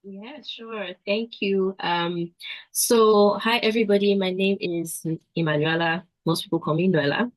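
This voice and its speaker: A woman who grew up between South Africa and Nigeria, a panel guest explaining her schooling in a measured way. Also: medium pitch, steady tone, no room sound, rough recording. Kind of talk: casual storytelling.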